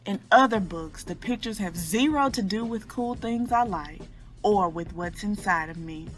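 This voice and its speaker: Woman reading a children's story over soft music. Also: medium pitch, animated, moderate pace. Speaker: children's picture book reader. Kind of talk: read-aloud storytelling for children.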